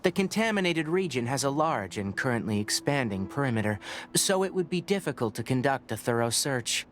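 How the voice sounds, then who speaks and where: An actor playing a calm, scholarly young forest ranger in a video game, measured and patient as he explains. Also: medium pitch, close to an everyday voice. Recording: game audio with clear ambience.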